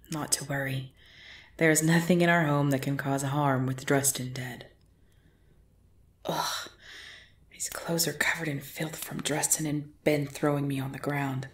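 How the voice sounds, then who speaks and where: A woman playing a commanding, possessive vampire ruler and lover in an ASMR roleplay drama, speaking in a formal, imperious, measured way. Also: low voice, steady tone. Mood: quiet, musing.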